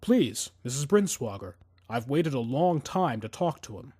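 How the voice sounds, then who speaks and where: A man's voice: medium pitch, sing-song, unhurried, dark tone, slightly rough. A man playing a polite, persistent young assassin posing as a reporter in an audiobook, courteous and insistently pleading. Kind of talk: audiobook character dialogue.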